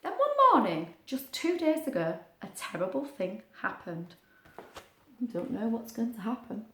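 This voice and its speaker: A woman reading a picture book aloud to young children, expressive, with chatty asides and questions. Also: fairly high pitch, a little slow, a little hiss or hum. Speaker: children's story reader. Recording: roomy, echoing sound.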